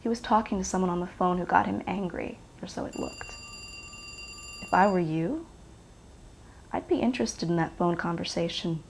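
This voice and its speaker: Blunt, defiant woman with a gravelly edge. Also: on the low side, normal speed, long pauses. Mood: blunt, unapologetic. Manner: blunt, sardonic, challenging. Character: defiant, bitter ex-girlfriend questioned by police. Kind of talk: naturalistic film acting. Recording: faint background noise.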